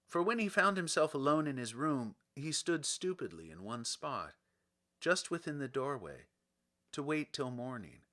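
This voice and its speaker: A man's voice: medium pitch, bright, forceful tone. A man reading a children's storybook aloud as scripted audiobook narration. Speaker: audiobook reader.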